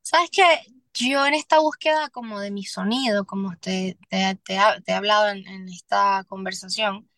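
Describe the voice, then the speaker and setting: A young Venezuelan woman, a singer-songwriter, speaking candidly as a podcast guest, earnest and halting with restarts. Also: medium pitch, a bit bright, dry recording. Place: Miami.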